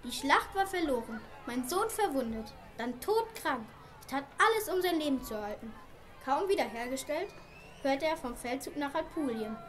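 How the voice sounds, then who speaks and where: Woman as indignant merchant father, very high voice, emphatic, aggrieved, amateur radio play. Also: animated, normal speed, fairly bright tone, slight background noise. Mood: reminiscing.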